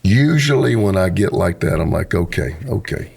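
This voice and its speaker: A middle-aged pastor, hoarse, hosting a casual talk show in an earnest, preacherly way. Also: male voice, deep voice, lively intonation, moderate pace, a little mellow. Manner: earnest and preacherly, with homespun sayings. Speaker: pastor and podcast host. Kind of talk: casual conversation, closing a podcast episode.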